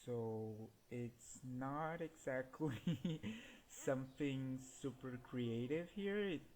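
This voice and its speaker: A man's voice: medium pitch, very slow, a little mellow. A man whose first language is Portuguese, giving laid-back gameplay commentary and chuckling often as he talks. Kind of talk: casual let's-play commentary.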